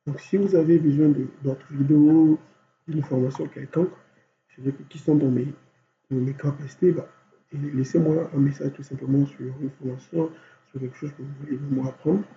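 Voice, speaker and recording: Gravelly man with a cold teaching software. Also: fairly high pitch, steady tone, moderate pace. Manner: thinks aloud step by step, constant "voilà". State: has a cold. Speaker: software instructor. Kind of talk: screen-recorded software tutorial. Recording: dull lo-fi recording with faint hum.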